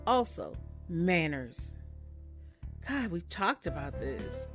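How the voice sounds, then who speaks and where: A woman's voice: on the low side, sing-song, slow pace, music in the background. A woman voicing a tired, stern young barista who scolds in a weary tone.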